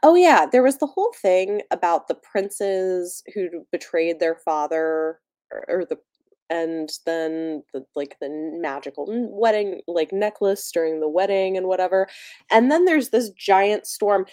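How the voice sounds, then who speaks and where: A woman's voice: on the low side, expressive, measured pace, mellow, no room sound, clean studio sound. A woman podcast host in a casual, chatty book discussion, musing aloud and prone to giggling.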